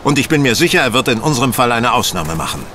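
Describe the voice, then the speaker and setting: A self-assured gentleman detective in an audio drama. His medium-pitched voice is measured and courteous, with faint noise behind it. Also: big pitch swings. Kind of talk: radio play acting.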